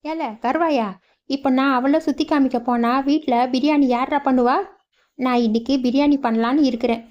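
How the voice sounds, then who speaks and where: A woman voicing a loud, bossy village mother in a cartoon, scolding her son. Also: high-pitched, fairly even intonation, moderate pace, highs cut off, lightly acted. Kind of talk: cartoon voice acting.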